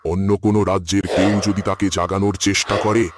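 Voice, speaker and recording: A man's voice: deep voice, little pitch movement, brisk, very noisy, close to an everyday voice. A man playing a grieving, vengeful male demon who speaks in a solemn, menacing, unyielding way in a cartoon. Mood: wrathful, menacing.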